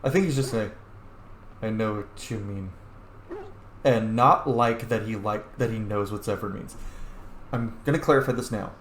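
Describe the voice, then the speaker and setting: A man's voice: lowish voice, normal speed, long pauses. A man hosting a roleplay let's play, giving informal, reflective game commentary with some swearing. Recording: roomy echo, faint background noise.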